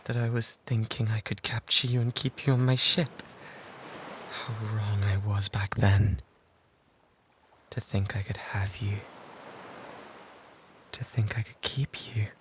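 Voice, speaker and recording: A man's voice: lowish voice, level tone, unhurried, long pauses, a bit bright. Man acting a lovesick, pleading British pirate. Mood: remorseful. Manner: fervent, breathy pleading that often drops toward whispers. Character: lovesick obsessive male pirate, pleading and self-abasing. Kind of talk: romantic ASMR roleplay acting. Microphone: close binaural microphone. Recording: noticeable background noise and ambience.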